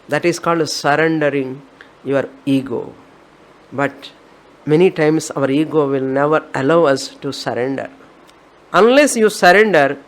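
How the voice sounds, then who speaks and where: Emphatic Indian woman teaching scripture. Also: sits low. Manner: emphatic, repetitive, exhorting. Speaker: spiritual teacher. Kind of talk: scriptural discourse.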